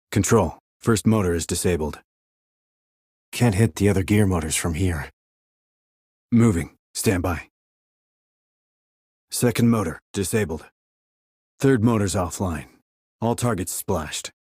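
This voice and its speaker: A man playing a terse, calm military sniper in a video game, clipped and controlled, reporting in tactical radio calls. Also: dry recording, clean studio sound. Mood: focused, terse. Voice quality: low, husky. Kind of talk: tactical radio call acting.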